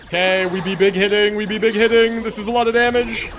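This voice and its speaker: A man's voice: high-pitched, fairly even intonation, quick pace, fairly bright tone, soft background music. A man livestreaming a game, commenting casually and answering chat, slangy and sweary as he thinks aloud.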